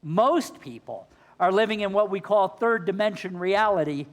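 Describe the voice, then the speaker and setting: Male minister, high voice, a bit hoarse, earnest sermon in a long-reverb church. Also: lively intonation, normal speed. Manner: earnest, rhetorical questions to the congregation. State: slightly hoarse. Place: church sanctuary.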